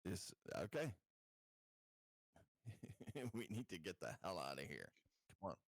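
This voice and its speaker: Lively low-voiced man playing a tabletop game. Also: a little slow, vocal fry, no echo. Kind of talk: casual chat, playing in character.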